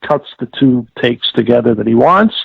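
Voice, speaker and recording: Older guy, super gravelly n husky, halting formal explainer in an interview, thin phone sound. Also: mid-range voice, animated. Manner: deliberate, halting, formal wording with self-corrections. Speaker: interviewee, former audio producer-director. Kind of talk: reminiscing interview, explaining a process. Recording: tinny phone-like line with room echo.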